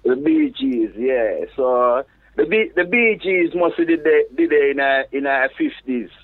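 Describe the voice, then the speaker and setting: Reggae DJ, patois, phone-like sound. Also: male voice, on the high side. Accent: Jamaican patois mixed with London. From England. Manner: relaxed, halting, restarts phrases. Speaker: reggae DJ guest. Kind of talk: casual interview chat.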